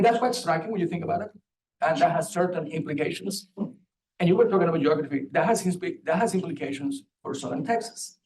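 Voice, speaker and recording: A man from Puerto Rico with a Puerto Rican accent, a professor speaking on a panel in a lively, joking way that quizzes the audience. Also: on the high side, a little hiss or hum, rough recording. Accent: Puerto Rican Spanish. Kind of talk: panel talk.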